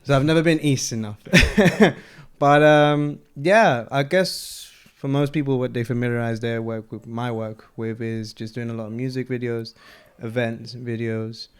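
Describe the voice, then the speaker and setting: A young man from Colombia, chatting casually as an interviewee, relaxed and rambling with frequent laughs. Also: mid-range voice, measured pace, clear, resonant tone.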